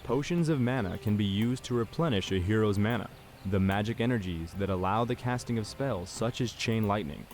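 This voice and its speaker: An actor playing a formal game tutorial guide, giving measured, scripted instructions. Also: male voice, fairly low pitch, a little hiss or hum, lightly acted. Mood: instructive. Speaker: tutorial narrator.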